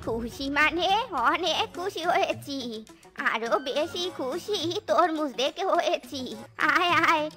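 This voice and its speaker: Woman as a high, scheming older fox mother-in-law. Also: slow pace, sharp tone, faint music behind, exaggerated voice. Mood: falsely sweet. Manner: muttering, mock-sweet. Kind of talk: Bengali animated comedy story dialogue.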